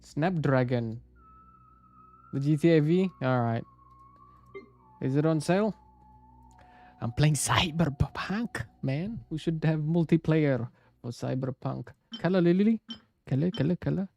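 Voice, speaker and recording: Casting: male game streamer, Indonesian accent, relaxed dark tone, unhurried muttering, light music behind. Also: a little high. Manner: mixes Indonesian and English, mutters to himself. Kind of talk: casual live game stream chat.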